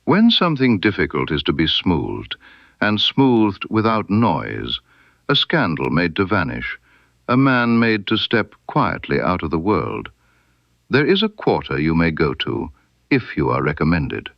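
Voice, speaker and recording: A man voicing a cool, knowing woman informant in a mystery story, speaking softly and deliberately with guarded amusement. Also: sits low, wildly swooping pitch, creaky, no echo, dull audio, exaggerated voice. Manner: soft, deliberate, veiled warnings.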